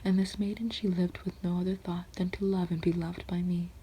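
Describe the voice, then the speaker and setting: A woman reading classic poems aloud in a soft, steady whisper, with rhythmic recitation. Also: fairly low pitch, a little fast, a little hiss or hum.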